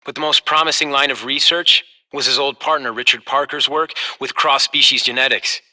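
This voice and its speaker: An actor playing a proud, embittered young heir to a corporation in a video game, polished and intense. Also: male voice, medium pitch, fairly even intonation, brisk, bright, forceful tone, rough recording. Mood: grim, determined.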